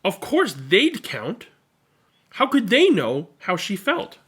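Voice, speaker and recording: A host reading a picture book aloud with animated narration and chatting casually about it. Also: medium pitch, slow, breathy edge.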